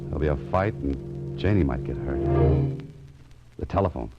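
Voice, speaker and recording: An actor playing a wry, cool-headed private investigator in 1940s radio style, with a sardonic way of talking. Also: male voice, rumbling low, animated, normal speed. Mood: tense, musing. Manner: sardonic, talks to himself in narrated asides. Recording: dull vintage radio recording, music behind.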